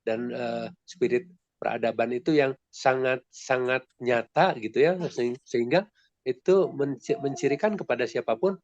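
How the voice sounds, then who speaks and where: A man's voice: mid-range voice, moderate pace, darkish tone, dry recording. Nasal congested man, polite rambling questioner, muffled dry call audio. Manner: polite, rambling, many restarts. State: nasal and congested from a sore throat. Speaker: discussion participant. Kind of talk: online book discussion. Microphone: video call.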